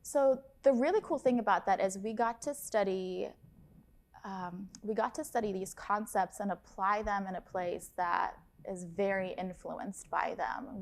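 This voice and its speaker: Brief: woman, 30s, from Lebanon, study abroad advisor, upbeat and chatty, a touch of vocal fry. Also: mid-range voice. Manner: upbeat, enthusiastic, chatty with fillers. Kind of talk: casual interview talk.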